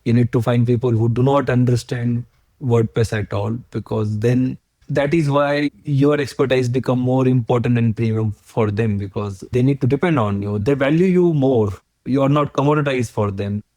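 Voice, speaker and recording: A man in his forties with an Indian accent talks with a mellow, mid-pitched voice. He sounds earnest and unhurried and builds his ideas patiently through examples. The sound is close and dry, with no room echo. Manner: earnest and explanatory, building points step by step with examples. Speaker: agency founder, podcast guest. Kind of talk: podcast interview.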